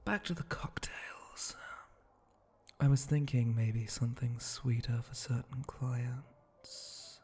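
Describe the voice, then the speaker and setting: A man playing a flirty, teasing spider-monster bartender in an intimate ASMR roleplay, murmuring and often dropping into a breathy whisper. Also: medium pitch, fairly even intonation, a little mellow. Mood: musing, relaxed. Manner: slow, teasing, murmuring, lingering pauses.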